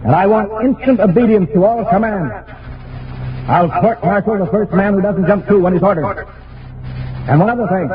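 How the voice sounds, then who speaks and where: A man's voice: high-pitched, moderate pace, long pauses, natural voice. A male actor playing a stern, commanding naval spaceship captain in an old-time radio drama, clipped and authoritative. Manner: clipped, authoritative, barking orders. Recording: tinny, very noisy vintage radio recording.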